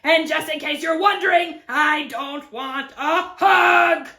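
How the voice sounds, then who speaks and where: A woman's voice: high voice, gravelly, reverberant, heavily acted. A woman voicing a grumpy, prickly male cactus in a storybook, barking indignantly and drawing out the words. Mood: grumbling. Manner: loud, indignant, drawn-out barking.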